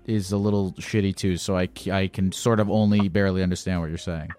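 A man's voice: deep voice, flat delivery, fast talker, darkish tone, faint music behind. A man hosting a podcast and chatting with a caller, blunt and teasing, swearing freely and hesitating with many fillers. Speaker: podcast host. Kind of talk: casual chat with a caller.

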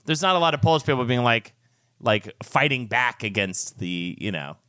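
A man's voice: mid-range voice, very animated, moderate pace, sharp tone, clear, resonant tone, no echo, studio quality. A man co-hosting a podcast in casual comedic chat, joking and exclamatory. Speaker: podcast co-host.

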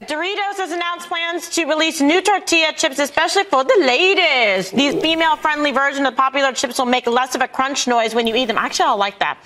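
A woman's voice: sits high, brisk, few pauses, bright, forceful tone. A woman presenting a light news item in a conversational reporting style. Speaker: presenter in a played news clip.

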